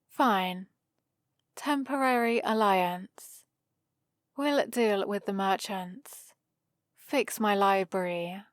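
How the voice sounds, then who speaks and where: A woman's voice: mid-range voice, dry recording, clean studio sound, lightly acted. A woman playing a prim, bossy and exasperated vampire librarian in a scripted ASMR roleplay, scolding in a fussy, theatrical way. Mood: grudging, impatient.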